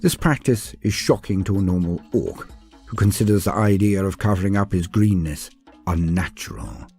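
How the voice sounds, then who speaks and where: A man's voice: sits low, animated, moderate pace, creaky, warm tone, faint music behind. A man reading scripted fantasy war lore in a grandiose, theatrical way, addressing the listener formally. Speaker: narrator of a Warhammer lore guide. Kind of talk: scripted dramatic lore narration.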